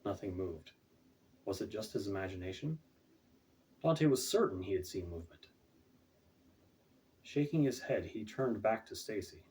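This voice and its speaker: A man's voice: lowish voice, animated, moderate pace, long pauses, darkish tone, a little hiss or hum. A man reading his own fantasy fiction aloud as scripted audiobook narration. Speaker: author reading own book. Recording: distant, off-mic home recording.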